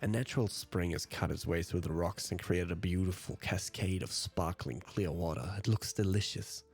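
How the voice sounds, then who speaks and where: Very deep, gravelly German man reading. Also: moderate pace, darkish tone. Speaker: let's play host. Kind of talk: reading visual novel narration aloud.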